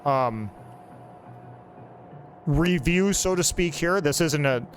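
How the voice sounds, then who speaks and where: A man's voice: fairly high pitch, lively intonation, slow, soft background music. A man in his forties casually commenting on his own gameplay, rambling and joking with a friend over a desk mic. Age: 40s. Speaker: gaming channel host.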